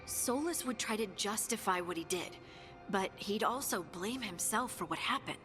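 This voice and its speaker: A thoughtful, inquisitive adventurer played by an actress in a fantasy game. Her delivery is animated and explanatory. Her voice has a long reverb, with faint music behind. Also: mid-range voice, normal speed, close to an everyday voice. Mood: curious. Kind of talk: naturalistic video game dialogue.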